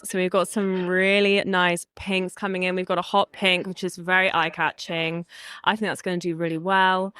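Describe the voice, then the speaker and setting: A young woman representing a brand, pitching products fluently and upbeat in a trade show interview. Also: a bit bright, clean tone, no room sound. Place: busy trade show hall.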